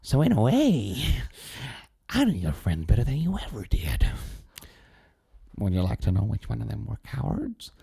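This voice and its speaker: A man voicing a taunting male villain who savors cruelty, in a gravelly, raspy voice with slow, drawn-out phrases. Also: on the low side, wildly swooping pitch, warm tone, heavily acted. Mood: menacing. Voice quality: gravelly, husky rasp.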